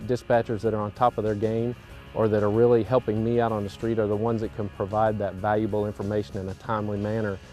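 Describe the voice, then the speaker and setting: A police officer, a man with a fairly low voice, explains his work in interview style. Background music is clearly audible. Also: flat delivery, fast talker, warm tone.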